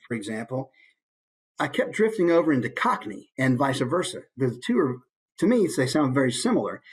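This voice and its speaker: A man's voice: medium pitch, slightly rough. Male voice coach, informal teaching delivery, a touch of huskiness in the tone. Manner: demonstrates points with brief put-on voices. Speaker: voice actor giving a coaching presentation. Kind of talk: informal instructional presentation.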